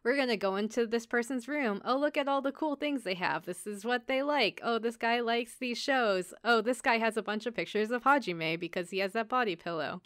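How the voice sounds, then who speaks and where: A woman's voice: on the high side, a little fast, few pauses, a bit bright, smooth, no echo, clean studio sound. A woman podcast host giving a casual, chatty recap, informally acting out bits of dialogue with wry commentary. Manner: informal, acts out characters' lines in passing.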